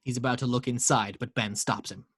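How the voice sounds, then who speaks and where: A man reading action lines aloud as narrator of a table read, in a steady storytelling way with small slips. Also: mid-range voice, a little fast, no echo, studio quality.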